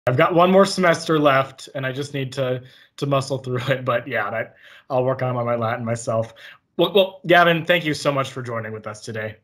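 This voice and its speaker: A young adult man hosting an interview, casual and halting, often repeating words before finishing a thought. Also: medium pitch, a bit bright. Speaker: podcast host.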